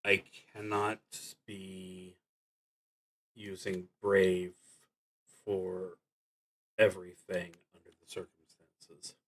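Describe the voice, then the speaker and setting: A man streaming a solo tabletop roleplaying game, narrating and thinking aloud in a halting, deliberate way with long pauses. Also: low-pitched, completely flat delivery, a bit bright, rough recording.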